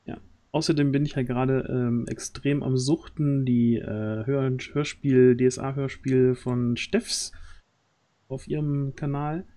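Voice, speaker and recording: A man in his thirties with a mellow, soft-edged voice hosts a casual stream. He feels his way through sentences with hesitation, close to a desk microphone. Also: mid-range voice, fairly even intonation, takes it slowly. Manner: hesitant, searching for words. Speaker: stream host. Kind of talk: casual livestream chat. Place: indoors, at home on a group video call. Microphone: close desk microphone on boom arm.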